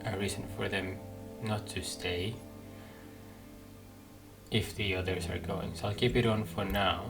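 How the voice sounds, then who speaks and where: A man's voice: on the low side, steady tone, a little slow, gravelly. A man giving casual playthrough commentary, thinking aloud hesitantly as he muses over his choices. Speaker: gaming video host. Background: game music.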